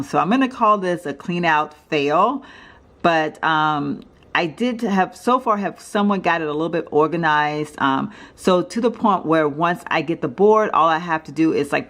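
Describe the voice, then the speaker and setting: A middle-aged woman from Texas, hoarse from allergies, chatting casually through a home vlog in her kitchen, with many fillers and self-corrections. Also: low voice. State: raspy from allergies. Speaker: home organizing vlogger. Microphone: handheld camera mic, filming off screen.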